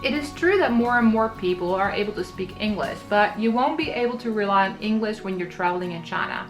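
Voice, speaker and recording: A woman from the Netherlands in her thirties giving travel tips in a scripted vlog, explaining clearly and practically. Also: mid-range voice, a little fast, soft background music. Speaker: travel vlogger.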